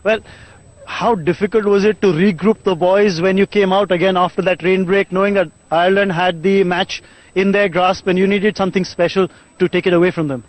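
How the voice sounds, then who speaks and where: A man hosting a post-match presentation outdoors at a cricket ground, interviewing the captains on a handheld mic in a smooth, formal broadcaster manner. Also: high-pitched, steady tone, a little fast, dry recording, poor audio.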